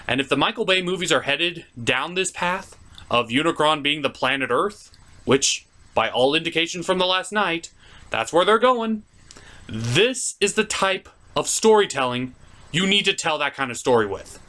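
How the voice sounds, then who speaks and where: A man's voice: a little high, sharp tone. A man hosting a video review, giving enthusiastic, theatrical commentary with emphatic, stretched-out words.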